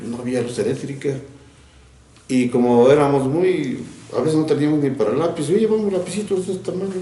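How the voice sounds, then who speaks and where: An older man from Mexico, a retired teacher, recalling his childhood in an interview, reflective and often laughing, with halting restarts. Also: mid-range voice, warm tone, reverberant. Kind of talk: recounting childhood memories.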